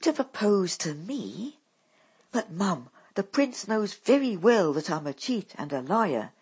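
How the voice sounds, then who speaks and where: A woman's voice: mid-range voice, sing-song, slow pace, darkish tone, breathy edge, voice pushed up, exaggerated voice. A woman reading aloud, voicing a timid teenage girl who stammers and sounds tearful. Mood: bewildered.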